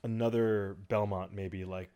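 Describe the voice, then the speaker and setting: A man's voice: on the low side, level tone, a little slow, mellow, clear, resonant tone. A man co-hosting a podcast in loose, conversational chat, enthusiastic about his favourites. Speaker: podcast co-host. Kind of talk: casual chat about movies.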